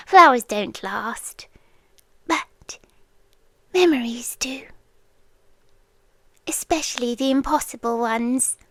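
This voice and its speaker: British actress as ancient, possessively devoted female beast for ASMR roleplay: high, unhurried, whispery, intimate delivery. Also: no room sound, studio quality, exaggerated voice. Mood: tender, confessional. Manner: slow, hushed delivery with frequent whispers and long pauses.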